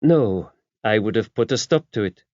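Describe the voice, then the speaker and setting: A man's voice: fairly low pitch, lively intonation, moderate pace, darkish tone, dry recording, highs cut off, lightly acted. A man voicing a regal, imperious older French abbess in an audiobook, with a French accent and a stately, formal, emphatic way of talking. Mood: indignant, imperious.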